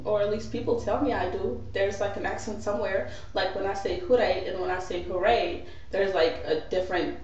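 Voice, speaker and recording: A young woman from West Africa ranting casually to camera as a vlogger, sarcastic and animated, with many filler "likes". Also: medium pitch. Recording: very echoey, distant, dull lo-fi sound.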